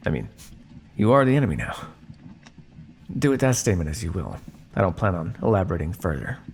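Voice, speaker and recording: A man playing a condescending, coolly menacing vampire scientist in an ASMR roleplay, speaking to his captive in a measured, teasing way. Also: low-pitched, big pitch swings, a little mellow, a little hiss or hum. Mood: dismissive, smug. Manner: smug, measured, teasing, theatrical. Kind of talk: ASMR roleplay monologue addressed to listener.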